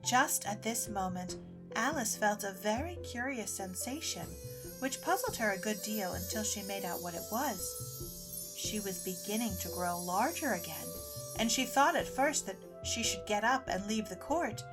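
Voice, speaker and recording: A woman's voice: mid-range voice, animated, measured pace, rough recording. A woman reading scripted storybook narration for an audio drama. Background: music.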